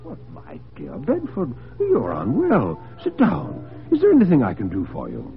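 A man voicing a genial, courteous gentleman host in a dramatic radio story, on a tinny, noisy old recording. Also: a little high, huge pitch swings, moderate pace, creaky, over music, pitched above the performer's own voice, full character voice. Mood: smoothly solicitous. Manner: courteous, chatty, solicitous. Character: genial, urbane gentleman host, sly and commanding.

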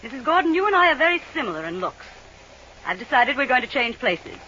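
A woman's voice: sits high, sing-song, fast talker, sharp tone, heavily acted. An actress playing a cunning, commanding female spy villain with a controlled, menacing manner in old radio drama. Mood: cold menace. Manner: controlled, menacing, crisp orders. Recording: dull old radio recording, noisy background.

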